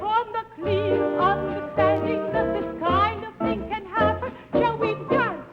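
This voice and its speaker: A woman's voice: very high voice, steady tone, a little slow, big-room sound. A woman singing a theatrical show tune as a performer on an old television broadcast. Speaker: singer and contestant. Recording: muffled 1950s TV broadcast with loud music. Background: musical accompaniment.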